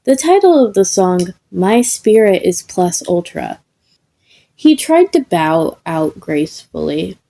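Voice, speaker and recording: A woman's voice: fairly low pitch, sing-song, slow, vocal fry, warm tone. A woman reading a scripted comedic story as narrator. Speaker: podfic narrator.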